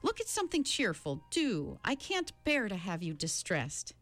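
A woman voicing a kindly, brisk, otherworldly old lady in a storybook, soothing and quaintly polite. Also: mid-range voice, huge pitch swings, a bit bright, faint music behind, full character voice. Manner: murmuring, quick reassurance, quaint politeness.

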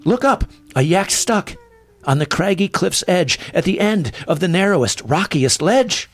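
A man voicing a loving mother yak in a children's story, soft and coaxing. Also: high-pitched, expressive, a little slow, no echo, heavily acted. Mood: urgent.

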